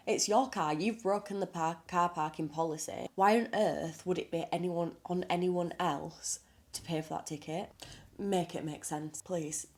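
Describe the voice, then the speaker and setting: A woman with a low voice talks casually to her camera, sounding stuffed up and nasal from a cold. She is blunt and outspoken and swears without fuss. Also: fairly even intonation, unhurried, darkish tone. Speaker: makeup artist. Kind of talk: casual reaction vlog commentary.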